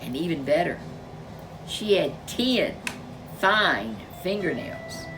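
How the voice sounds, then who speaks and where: A woman narrating a children's storybook in a deliberate, emphatic, expressive way. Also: on the low side, noisy background.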